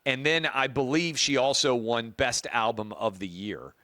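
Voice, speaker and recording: A man with a booming voice hosting a talk show, giving emphatic opinion commentary and repeating key points for effect. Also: unhurried, bright, forceful tone, no echo.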